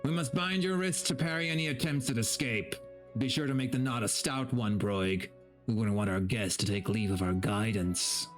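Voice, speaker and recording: A man's voice: mid-range voice, normal speed, faint music behind, lightly acted. A man voicing a stern, formal, commanding sentry in a storybook fantasy tale.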